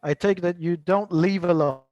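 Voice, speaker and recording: A soft-spoken man, talking casually on a video call. He sounds modest and low-key. The sound is dry and close, with no room echo. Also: normal speed, dull audio. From Argentina. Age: late 20s. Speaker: language exchange participant.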